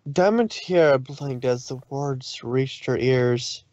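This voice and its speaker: A man's voice: medium pitch, slow, darkish tone, dry recording, muffled recording. A man reading scripted story narration in a dramatic style.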